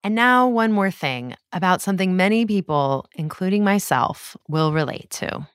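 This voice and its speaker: A woman hosting a news podcast, delivering scripted narration in a measured, clear way. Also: fairly low pitch, animated, no echo, studio quality. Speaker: podcast host.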